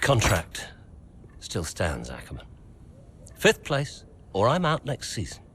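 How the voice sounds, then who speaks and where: A man's voice: fairly low pitch, huge pitch swings, slow, a bit bright, a little hiss or hum, exaggerated voice. An actor playing a cold, wealthy investor and father who speaks in a curt, clipped way. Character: cold rich male investor, older father.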